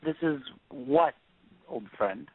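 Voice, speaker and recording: A man playing a younger, falsely friendly schemer with a deliberate, flattering manner in an audio play. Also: mid-range voice, gravelly, husky, dry recording, exaggerated voice. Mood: coy. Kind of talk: audio stage-play acting. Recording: tinny narrowband phone-like line.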